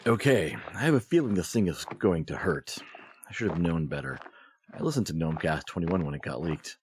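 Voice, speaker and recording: A man with a deep, husky voice that swings widely in pitch. He delivers scripted comedy lines in a wry, sarcastic way, as in an audio skit. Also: lively intonation, brisk, darkish tone, a little hiss or hum. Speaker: podcast performer playing himself in a comedy skit. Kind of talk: comedic audio drama acting.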